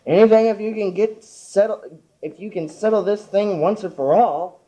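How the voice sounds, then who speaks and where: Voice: medium pitch, normal speed, dark tone, lightly acted. A teenager in an amateur radio play acting an exasperated, tight-fisted male boss. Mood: impatient. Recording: muffled amateur recording.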